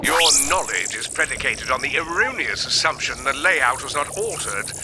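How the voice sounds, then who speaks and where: A man's voice: mid-range voice, big pitch swings, moderate pace, low-quality recording. A man playing a pedantic robot scout in a video game, with wordy, formal diction. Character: prissy, pedantic male robot scout giving briefings. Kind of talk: video game cutscene acting. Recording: loud music behind a game voice.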